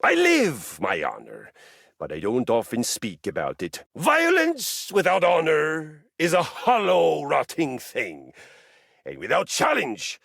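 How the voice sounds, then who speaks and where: A living treadmill from a video game, a boastful warrior obsessed with honor and violence. His gravelly, husky voice swings wildly up and down in pitch. The delivery is pompous and grandly theatrical. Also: huge pitch swings, slow, a bit bright, no room sound, studio quality, full character voice. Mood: solemn, proud. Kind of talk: theatrical game character acting.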